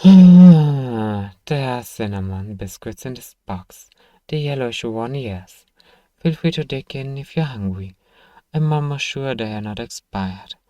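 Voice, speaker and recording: A man playing a gruff, sardonic but grudgingly kind apothecary shopkeeper in an ASMR fantasy roleplay, dryly teasing and sighing as he talks to one listener. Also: mid-range voice, warm tone, dry recording, studio quality, lightly acted. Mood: grudgingly caring.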